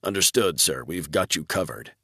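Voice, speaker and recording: A man voicing a confident, dutiful Marine major with crisp military replies in an audiobook. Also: low-pitched, lively intonation, moderate pace, pushed and bright, no room sound, studio quality, full character voice. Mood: assured.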